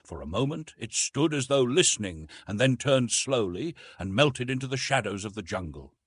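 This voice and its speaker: A man narrating classic adventure fiction as an audiobook, with dramatic, deliberate phrasing. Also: mid-range voice, lively intonation, husky, no room sound.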